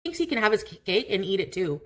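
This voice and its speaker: A woman's voice: medium pitch, very animated, fairly quick. A woman venting in an aggrieved way, heard in a clip played on a stream.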